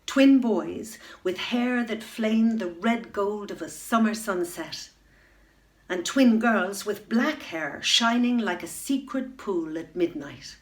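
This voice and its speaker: A middle-aged woman reads a children's fairy tale aloud at a slow, deliberate pace. Her mid-range voice stays expressive, sinking to a near-whisper at tense moments. She is recorded on a webcam in a room at home. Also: mid-range voice. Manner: unhurried and expressive, dropping to a hush at tense moments. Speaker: storyteller reading a children's book. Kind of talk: bedtime story reading. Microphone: webcam facing the reader.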